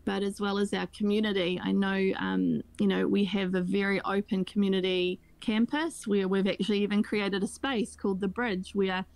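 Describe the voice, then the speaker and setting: A woman's voice: mid-range voice, flat delivery, moderate pace, hardly any pauses, darkish tone, dry recording. A woman speaking as a school staff guest in an interview, explaining things earnestly and conversationally.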